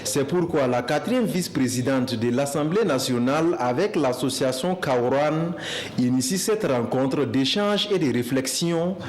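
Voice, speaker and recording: A man's voice: mid-range voice, expressive, a little slow, a little mellow, slight background noise. Man narrating a news report.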